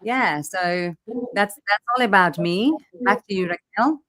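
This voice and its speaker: A woman's voice: medium pitch, measured pace, fairly bright tone. A woman from Nepal, a mother of two, speaking as a guest in a casual live chat with a friendly, conversational manner and lots of "you know".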